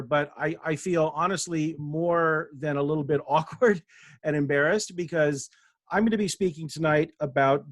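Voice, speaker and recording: A man's voice: fairly high pitch, no echo. A man from Denver giving a humble, self-deprecating talk as a guest author over a video call, with many fillers and restarts. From Denver, USA. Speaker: guest speaker, author and professor.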